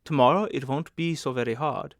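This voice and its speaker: A man's voice: medium pitch, a little fast, dry recording, studio quality, natural voice. A man voicing a kindly, bustling, talkative older woman who manages a hotel, in a motherly, emphatic manner for an audiobook. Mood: kindly, instructing.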